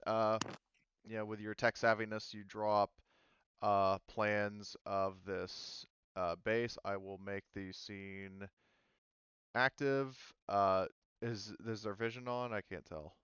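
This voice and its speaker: A man's voice: fairly low pitch, little pitch movement, slow pace, a bit bright, clear, resonant tone, dry recording, muffled recording. A man refereeing a tabletop game as game master, casually explaining things in an informal way.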